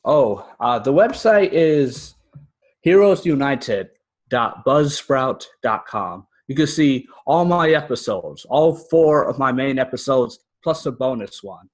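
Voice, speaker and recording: Male podcast guest, American accent, mid-pitched voice, informal and earnest. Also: animated, slow. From United States. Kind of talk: casual interview talk.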